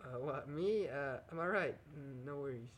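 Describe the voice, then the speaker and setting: A man's voice: medium pitch, normal speed, a little hiss or hum, lightly acted. A man playing an anxious, grieving young prince in an audio drama. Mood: casual, evasive.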